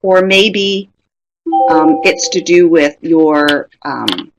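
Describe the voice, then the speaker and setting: Middle-aged woman giving a webinar talk, lowish voice, chatty and thoughtful, asks the audience stuff. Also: extremely slow, music in the background, low-quality recording. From Canada. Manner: conversational, reflective, asks the audience questions. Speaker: webinar presenter. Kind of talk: presenting a professional webinar.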